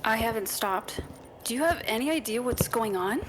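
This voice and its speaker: A woman voicing a small floating robot companion, anxious and loyal, speaking quietly and cautiously in an audio drama. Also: on the high side, lively intonation, moderate pace, faint music behind, close to an everyday voice, low-quality recording. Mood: worried, cautious.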